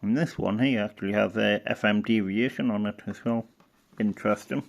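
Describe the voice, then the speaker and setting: A man giving casual, unscripted hobbyist commentary, halting and muttering as he browses, often trailing off. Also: lowish voice, fairly even intonation, gravelly. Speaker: hobbyist presenter.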